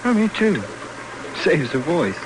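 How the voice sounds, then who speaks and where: A man's voice: fairly high pitch, sing-song, unhurried, background noise. An actor in an old-time radio drama playing an agreeable young expedition boatman with laconic, folksy replies. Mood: easygoing, hushed.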